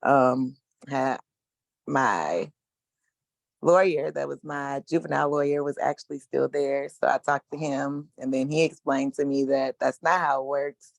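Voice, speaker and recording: A man in his thirties giving a frank, casual personal testimony as a panelist, with slangy speech and hesitant pauses. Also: mid-range voice, gravelly, dry recording. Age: 30s.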